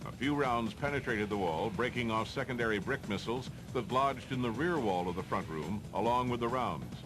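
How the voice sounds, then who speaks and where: A man's voice: medium pitch, animated, brisk, music in the background, rough recording. A man with an American accent reads formal, matter-of-fact instructional narration for a training film.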